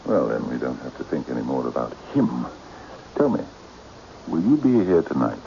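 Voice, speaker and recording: A sinister cult master with an extremely low, swooping voice. He is grandiose and condescending, coaxing like a hypnotist in an old radio mystery, on a noisy recording. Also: very animated, normal speed, vocal fry, dark tone, muffled recording, exaggerated voice. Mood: imperious, probing. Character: theatrical, sinister occult leader, imperious and coaxing.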